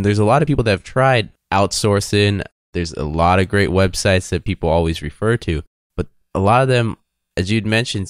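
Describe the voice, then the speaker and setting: A man's voice: lowish voice, no echo, clean studio sound. A man hosting a podcast, asking long, framing interview questions. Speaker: podcast host.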